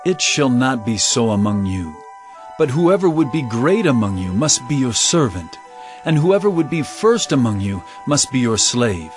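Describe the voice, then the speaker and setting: A man playing an authoritative teacher in a Bible audio drama, speaking in a measured, solemn, instructive way. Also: mid-range voice, big pitch swings, soft background music, close to an everyday voice. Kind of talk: audio drama scripture storytelling.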